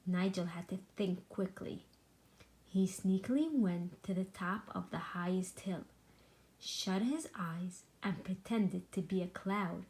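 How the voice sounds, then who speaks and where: Slow, expressive woman storyteller. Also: fairly low pitch, rough recording. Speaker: storybook reader. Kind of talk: expressive children's read-aloud narration.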